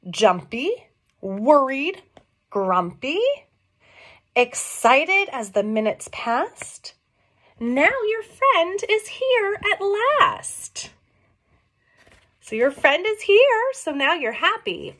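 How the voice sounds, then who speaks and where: A middle-aged woman reading a picture book aloud to young children, expressive and questioning, explaining things simply as she goes. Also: high voice. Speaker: read-along storyteller for young children. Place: small room at home.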